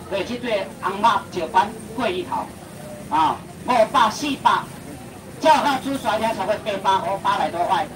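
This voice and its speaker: A young man with a Taiwanese accent auctioning seafood, calling out prices in a rapid sing-song patter and bantering with the crowd at an outdoor market. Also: sits high. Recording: distant voice over loud market noise.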